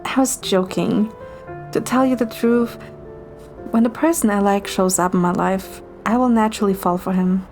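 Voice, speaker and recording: A woman voicing a playful, slightly bashful young heroine in a romance game, with a casual, expressive delivery. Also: mid-range voice, moderate pace, soft background music, lightly acted. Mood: teasing. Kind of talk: amateur romance game dub.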